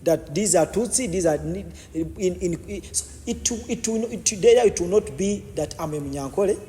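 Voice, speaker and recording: Man, high pitched, halting and repetitive, long hall echo. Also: expressive, dark tone, slight background noise. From Uganda. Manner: repetitive, many false starts and restarts. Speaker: podcast guest. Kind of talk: explanatory interview answers.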